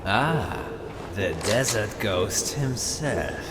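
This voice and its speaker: An actor playing a smug, menacing enemy general in a game cutscene, theatrical and drawn-out, in a cavernous space with noisy ambience. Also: male voice, on the low side, animated. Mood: smug menace. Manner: theatrical, drawn-out, mock-admiring. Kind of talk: game cutscene villain monologue.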